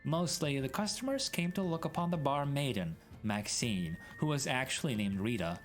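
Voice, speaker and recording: A man's voice: mid-range voice, lively intonation, normal speed. A man doing a dramatic storybook reading in a theatrical, mock-grand way. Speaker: streamer reading a story aloud. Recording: background music mixed under voice.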